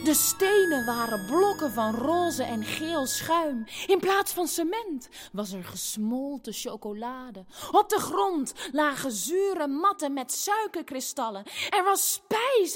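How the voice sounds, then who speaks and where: A woman's voice: extremely high pitch, a bit bright. A woman narrating a family radio play as a theatrical storyteller, dramatically and phrase by phrase. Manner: dramatic, deliberate phrase-by-phrase delivery.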